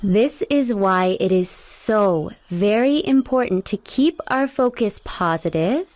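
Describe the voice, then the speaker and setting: A young adult woman leading a guided meditation, speaking slowly and soothingly as she gives gentle instructions. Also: medium pitch.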